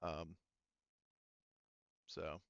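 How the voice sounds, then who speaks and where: A man casually running a tabletop roleplaying game as game master, often thinking out loud. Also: deep voice, little pitch movement, extremely slow, vocal fry, smooth, no room sound, lo-fi sound.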